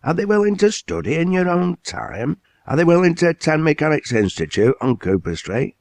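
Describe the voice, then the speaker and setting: A man's voice: a little high, very animated, vocal fry, darkish tone, dry recording, voice pushed up. A man doing a hearty Lancashire mill boss. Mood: commanding. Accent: Lancashire dialect. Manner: commanding, brisk, hearty. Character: blunt hearty older male mill owner.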